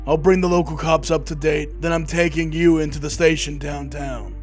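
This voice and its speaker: An actor playing an irritable, blunt police detective in a comic book audio drama, weary and threatening. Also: male voice, on the high side, fairly even intonation, normal speed, faint music behind, lightly acted. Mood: fed up.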